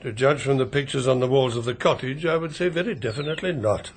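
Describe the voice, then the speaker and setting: An English actor in a British radio drama playing a courteous older family solicitor with a formal, politely understated manner. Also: male voice, medium pitch, fast talker, a little hiss or hum, natural voice. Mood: polite, measured. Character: courteous, discreet older male family solicitor, dryly tactful.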